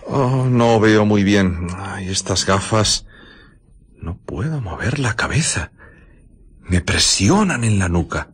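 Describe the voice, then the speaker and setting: Radio drama: mild, hesitant village gentleman, anxious and husky, with lively pitch. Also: slow pace, heavily acted. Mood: mild doubt. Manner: hesitant, stammering under pressure.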